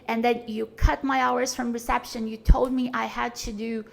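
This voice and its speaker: A woman's voice: fairly high pitch, little pitch movement, moderate pace, vocal fry. A woman, talking earnestly and frankly as a podcast guest, with many fillers and restarts. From Brazil. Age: 40s. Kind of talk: casual conversation.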